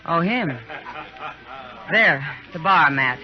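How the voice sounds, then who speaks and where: A woman's voice: sits low, animated, takes it slowly. An actress playing a worldly, familiar frontier saloon woman in an old-time radio western, with a knowing manner. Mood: knowing, friendly. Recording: dull old radio recording, loud music.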